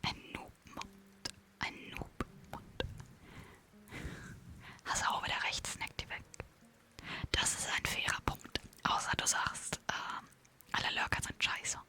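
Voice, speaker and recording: A woman's voice: measured pace, long pauses, sharp tone. A woman streaming in an ASMR whisper, chatting playfully with viewers while still tired from recovering from an illness.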